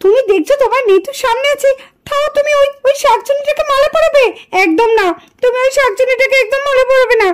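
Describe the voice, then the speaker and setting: Woman playing a jealous mother spirit, very high pitch, insistent, scolding, cartoon melodrama. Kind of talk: puppet doll cartoon dubbing.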